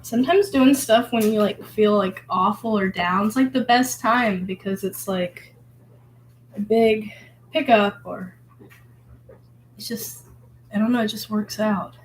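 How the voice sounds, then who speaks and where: Hoarse vlogger woman, mid-pitched, loose rambling self-talk with lots of fillers, faint noise behind. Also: measured pace, pauses often, rough recording. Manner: rambling, filler-heavy, musing. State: hoarse from illness. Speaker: vlogger painting. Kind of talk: casual reflective monologue.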